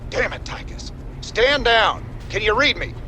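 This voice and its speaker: An actor playing a weary, wry rebel commander in a video game, with a commanding, exasperated manner. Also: male voice, sing-song, measured pace, bright, forceful tone, heavily acted. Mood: urgent, exasperated. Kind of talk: video game character acting. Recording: noisy battlefield rumble behind.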